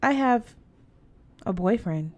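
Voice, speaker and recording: A woman's voice: mid-range voice, animated, slow pace, darkish tone. A woman voicing a dismissive, boy-struck teenage girl with a curt, exasperated manner in an audiobook. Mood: guarded. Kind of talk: acted audiobook dialogue.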